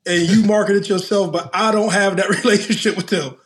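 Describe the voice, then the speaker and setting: A man's voice: sits high, fairly even intonation, brisk, slight background noise. A man co-hosting a podcast in a studio, laying out his points step by step in a thoughtful way. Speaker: podcast co-host. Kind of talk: casual chat, measured explanation.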